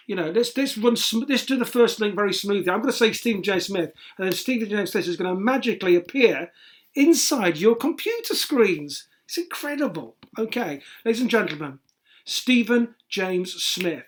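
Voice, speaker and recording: Deadpan man co-hosting in casual chat. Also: high-pitched, moderate pace. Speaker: co-host.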